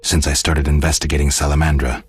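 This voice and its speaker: An actor playing a stoic, gruff monster hunter turned investigator in a video game, terse and flat in delivery. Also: male voice, rumbling low, fast talker, no room sound, exaggerated voice. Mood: matter-of-fact.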